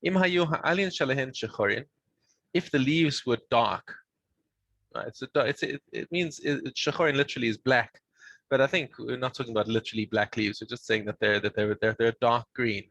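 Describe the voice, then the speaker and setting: Halting man teaching over a dry call. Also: medium pitch, fairly bright tone, dry recording. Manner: halting, many restarts while explaining. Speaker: Mishnah teacher. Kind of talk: casual study session over video call. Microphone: video call.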